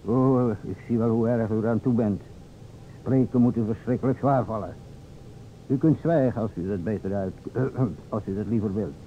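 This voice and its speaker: An actor playing an earnest, insistent police inspector in an old radio play, formal and deliberate with hesitations. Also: male voice, lowish voice, close to an everyday voice. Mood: apologetic, solemn. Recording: tinny, noisy old radio recording.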